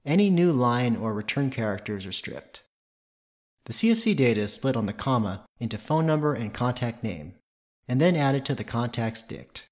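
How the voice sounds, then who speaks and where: A man's voice: medium pitch, big pitch swings, moderate pace. A man presenting a tutorial, reading scripted technical narration in a methodical, matter-of-fact way.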